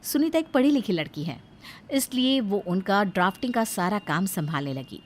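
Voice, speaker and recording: A woman's voice: mid-range voice, lively intonation, normal speed, a little hiss or hum. A woman narrating a scripted moral story as a storyteller.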